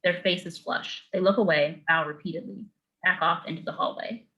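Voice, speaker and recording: A woman's voice: fairly low pitch, steady tone, normal speed, pushed and bright, muffled recording. A woman narrator reading screenplay stage directions in clipped, matter-of-fact phrases.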